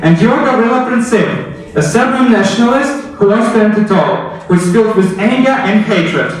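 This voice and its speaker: A man's voice: high voice, expressive, moderate pace. Echoey, distant man giving a storytelling speech. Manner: rhetorical, addresses listeners with questions. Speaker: public speaker telling a historical story. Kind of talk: storytelling speech with dramatic second-person narration. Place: echoey indoor room, recorded from a distance. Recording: very echoey room, distant microphone.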